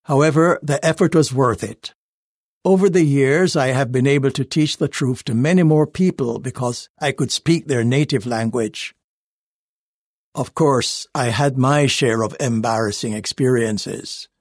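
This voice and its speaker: Man, scripted first-person narration, measured and earnest, mid pitch, dry close sound. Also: no room sound. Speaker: reader of a magazine life story.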